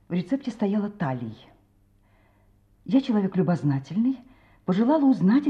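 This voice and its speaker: A woman's voice: medium pitch, warm tone, lightly acted. An actress playing an inquisitive, insistent woman in a Russian radio play, urgently sharing a discovery. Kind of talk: Russian audio play acting. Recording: muffled, faint noise with clicks.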